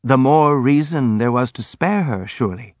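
A man voicing a gentle, timid young prince in an audiobook, speaking politely and earnestly. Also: medium pitch, animated, normal speed, dry recording, lo-fi sound, voice pushed up. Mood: hasty, apologetic. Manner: polite, earnest, hastily apologetic.

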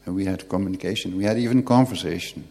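Husky-voiced male host in a reverberant hall. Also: deep voice, quick pace, mellow. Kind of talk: presenting and interviewing.

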